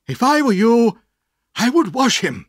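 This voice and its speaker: A mild, absent-minded old gentleman with a raised, wandering voice, as a narrator puts him on. He trails off between his words in a dry, close storybook reading. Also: very high-pitched, very animated, a little slow, no room sound, voice pushed up, exaggerated voice. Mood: vague. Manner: vague, hesitant. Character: odd, vague, gentle grey-haired old gentleman.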